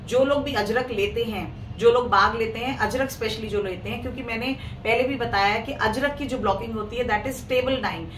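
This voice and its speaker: Hoarse chatty woman on a live sale. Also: fairly high pitch, normal speed, few pauses. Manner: chatty, mixes English into Hindi, greets viewers by name. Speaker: clothing seller hosting a live sale. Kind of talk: casual live-stream sales talk. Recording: echoey room, mic at a distance, faint noise.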